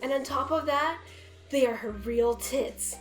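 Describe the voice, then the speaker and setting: High-pitched woman narrating a comic story. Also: unhurried. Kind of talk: dramatic reading of a comic story. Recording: roomy echo, music behind.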